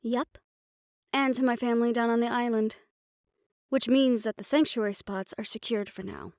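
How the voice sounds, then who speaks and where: A confident, scheming female magic researcher from a fantasy audio roleplay, acted by a woman. Her voice sits a little high. The recording is very dry, with next to no room sound. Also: fairly even intonation, moderate pace, a little mellow, dry recording, lightly acted. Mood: confident, brisk.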